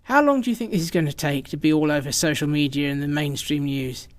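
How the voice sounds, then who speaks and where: A man playing a ruthless, calm official with clipped menace in a radio drama. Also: a little high, quick pace, no echo, studio quality, natural voice. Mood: cool assurance. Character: ruthless man quietly arranging a killing.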